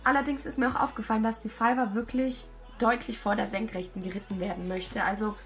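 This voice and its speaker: Hoarse young woman with a cold, chatty voice-over, lots of ums, faint music behind. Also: on the high side, fairly even intonation, a little fast. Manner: self-critical, chatty, many fillers. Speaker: rider commenting her own show-jumping test video. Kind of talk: casual video commentary. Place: at home, voice-over.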